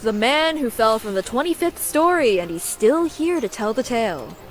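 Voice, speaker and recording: Woman as a sardonic, high, lively airship pilot. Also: moderate pace, natural voice. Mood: teasing. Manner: sarcastic, blunt, teasing. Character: sardonic, impatient young female airship pilot. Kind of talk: video game cutscene acting. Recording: faint music behind the voice.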